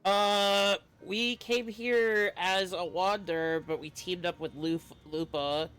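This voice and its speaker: A man's voice: high voice, fairly even intonation, slow, fairly bright tone, clean tone, faint music behind. A man streaming a game, giving casual, laid-back commentary with brief musing reactions.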